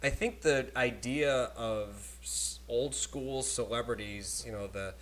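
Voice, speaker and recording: A man hosting a casual podcast on a cheap desk microphone, talking in animated, rambling bursts with frequent laughter. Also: medium pitch, slow pace. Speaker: podcast host. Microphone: cheap desk microphone at a laptop.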